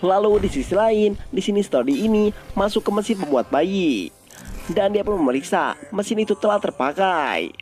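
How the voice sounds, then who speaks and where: A man's voice: sits high, very animated, moderate pace, a little mellow, soft background music. A man with an Indonesian accent narrating a story recap in an informal, scripted style.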